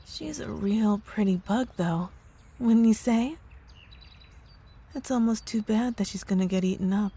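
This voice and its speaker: A woman playing a possessive young witch girlfriend in an ASMR roleplay, cooing sweetly with drawn-out words and a menacing undertone. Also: medium pitch, a little mellow, breathy edge, full character voice. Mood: playful, sinister. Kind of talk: ASMR roleplay audio drama.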